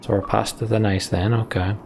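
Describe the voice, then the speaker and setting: Male let's play narrator: low, gravelly voice, storytelling delivery, faint background noise. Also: level tone, fairly quick. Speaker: let's play host reading game text aloud. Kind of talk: dramatic storytelling narration with casual commentary.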